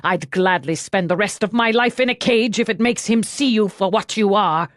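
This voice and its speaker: A woman's voice: medium pitch, normal speed, dry recording, studio quality, lightly acted. A woman voicing a stiff, proud, cold male servant in an audiobook, formal, clipped and bitterly protective. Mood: outraged. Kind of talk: audiobook character dialogue.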